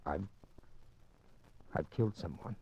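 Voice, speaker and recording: An American actor playing an ardent, guilt-ridden lover in a 1950s radio drama, speaking in an urgent, halting and earnest way. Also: male voice, sits low, animated, dark tone. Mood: anguished confession. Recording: dull, noisy 1950s radio recording.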